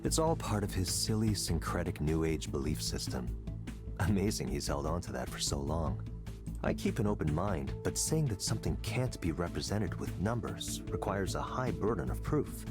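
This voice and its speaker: A man playing a confident, coldly intellectual tech executive in a visual novel, lecturing in a deliberate, persuasive way. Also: low-pitched, big pitch swings, over music. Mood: smug, dismissive.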